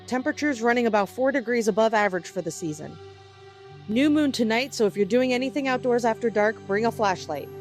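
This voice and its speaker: A woman's voice: on the high side, close to an everyday voice. A woman playing a composed, cheerful morning TV news anchor in an audio drama, polished and chatty with a light wry touch. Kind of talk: broadcast news reading.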